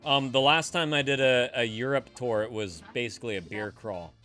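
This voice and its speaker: Bay area guy hosting a stream, bright voice, jokey and teasing, cusses casually. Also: mid-range voice, normal speed, soft background music. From California, Bay Area. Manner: playful and teasing, laughs often, swears casually. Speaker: livestream host. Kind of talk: casual chat.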